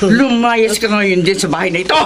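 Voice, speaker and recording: An actress playing a rich, bad-tempered, suspicious older sister in a Filipino radio drama, scolding and imperious, with a Filipino accent. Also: female voice, medium pitch, fairly quick, noisy background, natural voice. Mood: furious, scornful.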